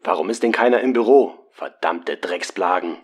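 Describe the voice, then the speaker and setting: A gruff, grumpy film director acted by a man in a parody audio drama. His delivery is curt and crude, in a lowish voice with faint noise behind it. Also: moderate pace, natural voice. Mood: irritated, serious. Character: gruff, foul-mouthed film director, grumpy older client.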